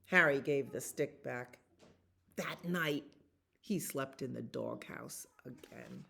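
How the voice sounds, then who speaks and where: Woman, low pitch, slow pace, children's storybook reading, expressive and deliberate storyteller. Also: creaky, a little hiss or hum. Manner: expressive, deliberate storytelling with drawn-out repeated words. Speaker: librarian reading stories for children. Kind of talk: children's storybook read-aloud.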